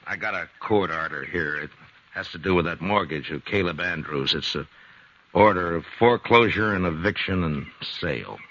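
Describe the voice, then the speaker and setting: Tired frontier marshal in an old radio western, real deep voice, bright edge, slow and halting, dull recording w/ faint music. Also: male voice, heavily acted. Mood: subdued. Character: weary frontier town marshal, grown man, steady. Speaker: lead of the show. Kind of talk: 1950s radio western drama acting.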